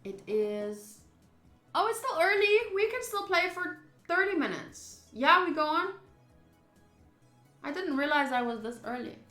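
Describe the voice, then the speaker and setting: A woman's voice: high-pitched, very animated, measured pace, sharp tone, soft background music. A young woman streamer reading a visual novel aloud and chatting informally and expressively with viewers. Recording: roomy echo.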